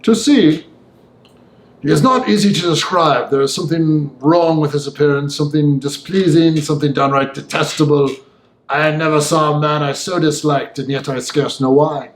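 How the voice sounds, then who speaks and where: A man voicing an opinionated young gentleman in an audiobook, talking in a formal, emphatic, discursive way. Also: a little high, normal speed, echoey room, voice pushed up, close to an everyday voice. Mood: baffled distaste. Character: opinionated, talkative young Victorian gentleman, Mister Enfield. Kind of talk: audiobook character voice.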